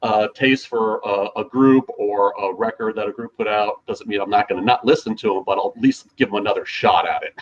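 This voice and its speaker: Rambling gravelly-edged male podcaster. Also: on the low side, fairly quick, hardly any pauses, highs cut off. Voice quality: slightly gravelly. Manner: rambling, filler-heavy, restarts often. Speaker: podcast co-host, DJ. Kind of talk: casual chat.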